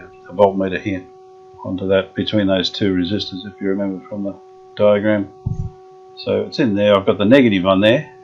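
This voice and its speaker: A man restoring an old radio, thinking aloud in a halting, casual way with many pauses and fillers. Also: low-pitched, highs cut off, slight background noise. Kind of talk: hands-on workshop demonstration.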